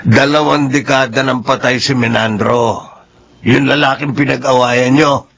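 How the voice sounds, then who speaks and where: A man acting in a Filipino radio drama as a weary, teasing older husband who plays peacemaker, with a gravelly voice and a Filipino accent. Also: medium pitch, fairly even intonation, measured pace, fairly bright tone, slight background noise. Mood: reasoning. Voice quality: gravelly, husky. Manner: wry, exasperated.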